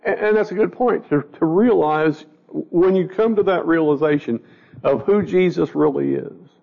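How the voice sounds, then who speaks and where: A man's voice: on the high side, normal speed. A man teaching an informal Bible study class, a little scratchy and stuffed up, talking plainly and often repeating words as he questions the group. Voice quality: gravelly. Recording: dull lo-fi recording.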